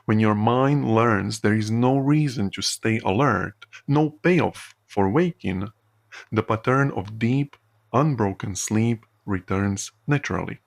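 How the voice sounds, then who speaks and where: A man leads a guided hypnosis session in a low, gravelly voice. He speaks very slowly and soothingly, leaving frequent pauses between measured phrases. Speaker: hypnotherapist guiding a recorded session. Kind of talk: guided sleep hypnosis narration.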